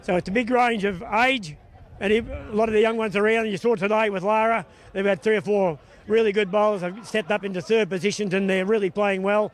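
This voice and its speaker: Fast-talking high-voiced man, plain-spoken. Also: steady tone. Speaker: bowls region organiser. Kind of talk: interview answers.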